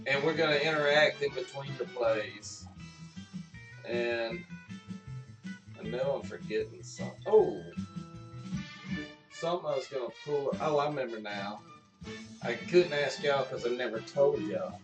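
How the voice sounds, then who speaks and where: A man's voice: medium pitch, measured pace, pauses often. Distant, roomy-sounding man hosting a casual stream. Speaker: live stream host. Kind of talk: casual pre-show chat. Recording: roomy, distant mic, music behind.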